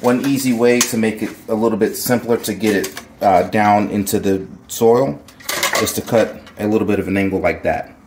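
Middle aged guy showing how to do garden stuff, lowish voice, laid back and chatty, faint hiss behind. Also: moderate pace. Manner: relaxed, chatty, jokey asides. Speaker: gardening channel host. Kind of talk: casual how-to demonstration. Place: home kitchen, indoors.

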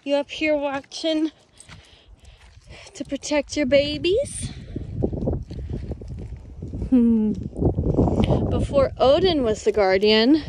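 A woman giving a casual outdoor tour on a handheld phone, talking affectionately as if to animals. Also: a little high. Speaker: ranch owner giving a tour. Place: outdoors in an open dry pasture. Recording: noisy outdoor phone recording.

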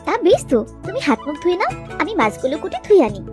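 A woman playing a nagging but devoted village housewife in a cartoon, expressive, shifting between scolding and coaxing. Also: very high voice, racing, music in the background, full character voice. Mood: pleased, affectionate. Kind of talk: cartoon voice acting.

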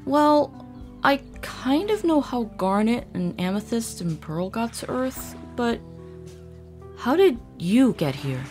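A woman's voice: fairly high pitch, big pitch swings, slow pace, a little mellow, soft background music. A woman voicing an earnest, worried young boy in a cartoon comic dub, youthful, hesitant and pleading. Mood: hesitant, curious.

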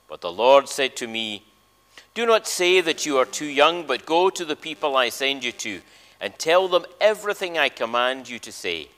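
A male minister speaking in a measured, solemn way with emphasis, addressing a congregation and reading scripture in a church service. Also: medium pitch, big pitch swings, fairly bright tone. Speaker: minister leading worship. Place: large church interior. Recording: long church reverb.

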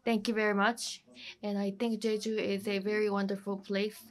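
A woman's voice: medium pitch, level tone, normal speed. A woman panelist giving closing remarks in simple English with small grammar slips, recorded on a conference stage. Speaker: ambassador panelist.

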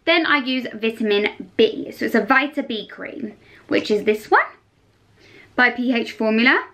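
A woman's voice: a little high, animated, unhurried, a bit bright, a little hiss or hum. A young woman chatting enthusiastically and emphatically to camera in a casual skincare review style, as a beauty vlogger. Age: young adult. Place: small furnished bedroom, indoors.

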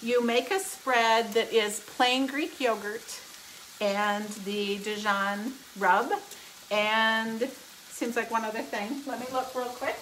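Bright chatty woman cooking at home. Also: on the high side, fairly even intonation, takes it slowly, slight background noise, rough recording. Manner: chatty and upbeat, explaining steps while cooking. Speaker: home cook hosting a live cooking stream. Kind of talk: casual cooking demonstration. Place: home kitchen, indoors. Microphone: phone camera, often held close, sometimes across the kitchen. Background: sizzling pan.

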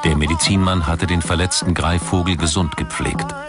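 A man narrating a scripted documentary in a measured, formal way. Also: sits low, fairly even intonation. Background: music behind the voice.

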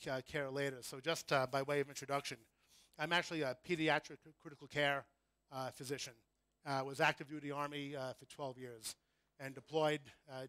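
A middle-aged man with an American accent giving a conference lecture in an informal, halting way, often correcting himself. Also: a little high, bright, forceful tone, no room sound. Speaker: conference speaker, critical care physician. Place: conference room before an audience.

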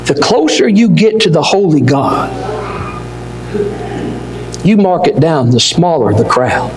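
An older man preaching a sermon from a pulpit, swinging from near-shouts down to low, slow words. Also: big pitch swings, music in the background. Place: church auditorium. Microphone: pulpit mic, wide far camera. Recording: echoey hall with long reverb.

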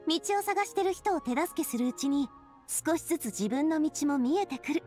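A woman's voice: high voice, expressive, normal speed, darkish tone, soft background music. A woman voicing a confident, straight-talking young female ruler in a Japanese video game, encouraging and casually frank. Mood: warm, confident. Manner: casual, frank, assured speech.

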